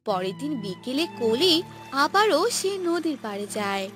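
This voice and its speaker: A woman tells a cartoon fairy tale in scripted narration. Her voice is high and very lively in pitch, delivered slowly. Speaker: storyteller. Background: music.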